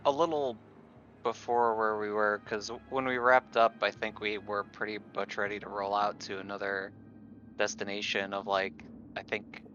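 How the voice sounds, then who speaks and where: Male player, lowish and bright, muffled lo-fi mic, thinking aloud. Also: flat delivery, normal speed, soft background music. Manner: thinking aloud, trying to remember. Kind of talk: casual chat at a game table. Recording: dull lo-fi microphone.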